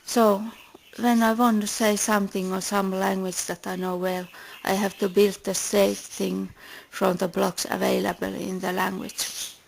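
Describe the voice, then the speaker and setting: A woman with a Finnish accent reads her own essay aloud in a heavily gravelly, creaky voice. Her pitch barely moves, and she speaks in measured, deliberate steps. A faint hiss sits under the recording. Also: little pitch movement, rough recording. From Finland. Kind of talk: read personal essay.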